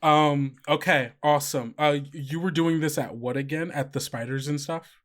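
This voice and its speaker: A man's voice: fairly high pitch, fairly even intonation, normal speed, fairly bright tone. A man running a tabletop game as game master, narrating loosely with many fillers and restarts.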